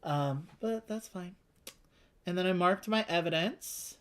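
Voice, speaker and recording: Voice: mid-range voice, measured pace, a bit bright. A co-host of a board game playthrough, talking casually through rules, choices and story.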